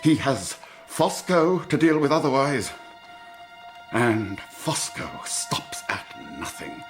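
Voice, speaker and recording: An actor playing a suave, courtly gentleman villain in an audio drama, florid and theatrical, with menace under the courtesy. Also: mid-range voice, lively intonation, slow pace, music in the background. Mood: tender menace.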